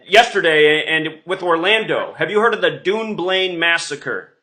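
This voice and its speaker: Insistent man with a bright, higher-pitched voice. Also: unhurried. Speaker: online video host. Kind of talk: rambling conspiracy monologue.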